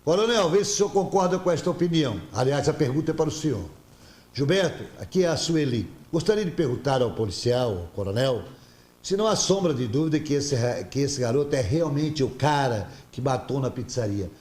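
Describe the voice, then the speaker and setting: A man's voice: medium pitch, animated, a little fast, a little mellow, echoey room. A man hosting a TV panel debate, reading viewer messages aloud and pressing his guests with pointed questions. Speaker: TV talk show host. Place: TV studio.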